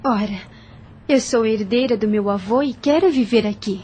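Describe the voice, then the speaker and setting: An actress playing a proud, determined young heiress in an audio drama, with a Brazilian accent and a theatrical, emphatic delivery. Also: female voice, fairly high pitch, normal speed, darkish tone, a little hiss or hum, natural voice. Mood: solemn resolve.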